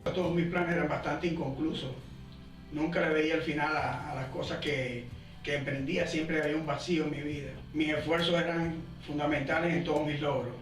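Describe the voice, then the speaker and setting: A man from Cuba, calmly telling his personal testimony of coming to faith. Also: fairly high pitch, a little fast. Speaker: church member giving testimony. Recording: echoey, distant, with music behind.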